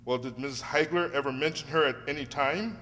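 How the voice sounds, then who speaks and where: A man playing a polite, cautious lawyer in an amateur radio play, measured and hesitant, recorded in a reverberant hall. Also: on the high side, a bit bright, natural voice. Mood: cautious, resolved. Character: polite, earnest gentleman lawyer, cautious and determined. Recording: reverberant hall, faint noise and hum.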